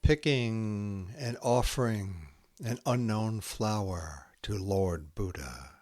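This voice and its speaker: Guy reading haiku super slowly, lowish voice, short deliberate phrases, dry close sound. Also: expressive, darkish tone, no echo. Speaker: podcast host reading poetry. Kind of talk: slow, spare haiku recitation.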